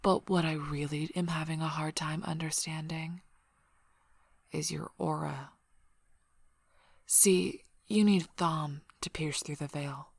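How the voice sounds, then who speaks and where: A woman playing a protective, threatening female werewolf pack leader in an ASMR roleplay, speaking in a suspicious, menacing, breathy near-whisper. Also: low voice, steady tone, takes it slowly, long pauses, no room sound, heavily acted. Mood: accusing, skeptical.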